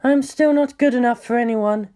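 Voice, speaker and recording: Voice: medium pitch, moderate pace, mellow, voice pushed up, close to an everyday voice. A narrator voicing a weary woman scientist in clipped, precise speech for an audiobook. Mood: bitter, resigned.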